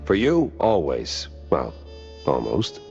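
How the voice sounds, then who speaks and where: Hardboiled cartoon detective mouse, deep register, sardonic and expressive. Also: male voice, slow, gravelly, highs cut off, soft background music, heavily acted. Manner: dry, sardonic. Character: weary wisecracking hardboiled male mouse private detective. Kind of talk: noir cartoon game acting.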